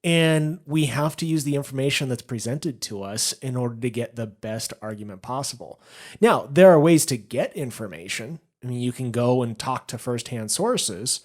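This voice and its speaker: A man in a relaxed podcast conversation who lays out his views at length, circling back to his point as he goes. His pitch sits in the middle for a man, neither deep nor high. He laughs easily between thoughts. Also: normal speed. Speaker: podcast co-host. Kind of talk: casual podcast discussion.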